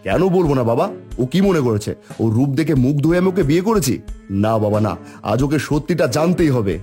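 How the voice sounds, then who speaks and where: A man voicing an upright, respectful young city son-in-law in a cartoon, speaking in an earnest, expressive way. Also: a little high, quick pace, natural voice. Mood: indignant, resolute. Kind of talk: cartoon moral-story voice acting. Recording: music faintly behind the voice.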